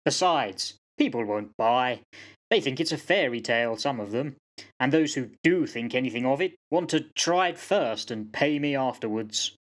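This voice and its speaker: A man's voice: mid-range voice, animated, moderate pace, close to an everyday voice. Man acting a solemn old soldier with forebodings. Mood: grim, rueful. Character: grave old soldier warning of a cursed charm. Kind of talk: radio play acting.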